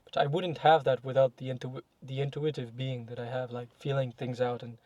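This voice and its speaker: A man's voice: medium pitch, little pitch movement, brisk, darkish tone, no room sound. A young man talking casually and reflectively in a podcast chat, with many fillers and self-corrections. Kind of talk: casual conversation.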